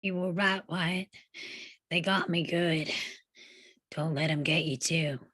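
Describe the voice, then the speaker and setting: A woman playing a dying, resigned young cowboy in a western table read, speaking quietly and weakly, almost like a child. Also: low-pitched, fairly even intonation, slow, no echo, clean studio sound, full character voice. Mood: fading, resigned.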